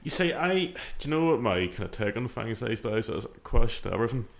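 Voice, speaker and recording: A man's voice: mid-range voice, lively intonation, fast talker, creaky. A man co-hosting a podcast in casual chat, rambling and musing aloud as he trails off. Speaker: podcast co-host. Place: podcast studio.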